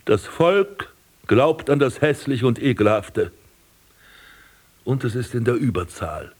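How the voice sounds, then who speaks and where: An actor playing a vain, scornful prince and landscape gardener in a radio drama, speaking in pompous, ornate declamation. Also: male voice, mid-range voice, sing-song, slow pace, husky, full character voice. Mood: self-satisfied. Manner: pompous, declamatory, ornate old-fashioned diction. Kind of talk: literary radio drama acting, monologue and dialogue.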